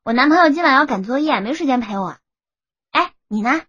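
A woman voicing a lively, nosy college student in an audiobook, excitable and chatty. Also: high voice, fairly quick, husky, no room sound, dull audio, exaggerated voice. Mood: chatty, curious. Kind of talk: audiobook character acting.

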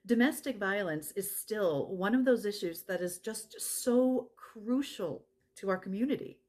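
A woman's voice: mid-range voice, expressive, measured pace, darkish tone. An actress playing a passionate, plain-spoken woman who runs a support center, in dramatized testimony. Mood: reflective, frank. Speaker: actor performing a monologue.

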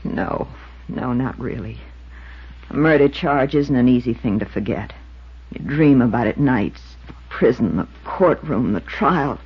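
Husky, wounded American waitress. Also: female voice, extremely low pitch, expressive, unhurried, full character voice. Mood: bitter, pained. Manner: emotional, earnest, defensive. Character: wounded, defensive, earnest young waitress. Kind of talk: 1950s radio drama acting. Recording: muffled 1950s radio recording.

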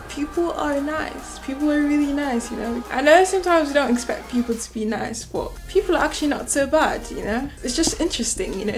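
A young student woman talks loosely to the camera she holds at arm's length, her voice sitting a little high. She chats along with plenty of fillers. Background music runs clearly beneath her. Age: young adult. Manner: chatty, loose, many "like" and "you know" fillers. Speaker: student vlogger. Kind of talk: casual vlog talk to camera. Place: small bedroom and back seat of a taxi. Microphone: handheld camera on a small tripod at arm's length.

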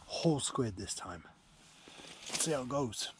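A man's voice: mid-range voice, animated, a little slow. A man in his forties giving casual, enthusiastic running commentary to camera outdoors as he fishes, in an informal, matey way of talking. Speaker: angling vlogger. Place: outdoors at night on a beach by a small shelter. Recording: clearly noisy outdoor location sound.

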